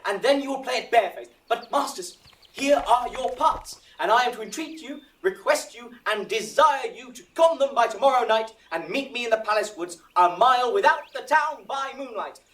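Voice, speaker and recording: A man's voice: very high voice, animated, moderate pace, a bit bright, far from the mic. A man playing the earnest organiser of an amateur acting troupe, instructing and coaxing precisely in a Shakespearean audio drama.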